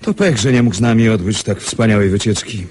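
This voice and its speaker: An actor playing a polite, sociable gentleman passenger in a radio drama. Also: on the low side, fairly quick, slightly rough. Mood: courteous.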